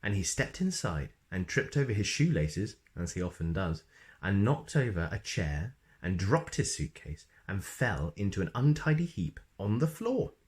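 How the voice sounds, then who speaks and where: A man narrates a children's storybook in a fairly low voice. His intonation is very lively, rising and dropping for playful emphasis. He leaves dramatic pauses between phrases. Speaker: storybook reader.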